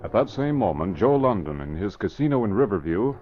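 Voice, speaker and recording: A man's voice: on the low side, big pitch swings, fairly quick, loud music behind the voice. A man narrating a scripted radio mystery in a dramatic 1940s style. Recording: muffled vintage radio recording.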